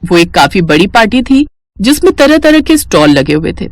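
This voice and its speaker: A woman's voice: mid-range voice, sing-song, moderate pace, no room sound, studio quality, soft background music. A woman narrating a scripted story as its storyteller.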